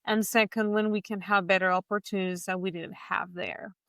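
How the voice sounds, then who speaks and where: Colombian-accented woman, clear smooth voice. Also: medium pitch, fairly even intonation, brisk, fairly bright tone, no room sound. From Bogota, Colombia. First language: Spanish. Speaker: podcast host. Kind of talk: conversational personal storytelling.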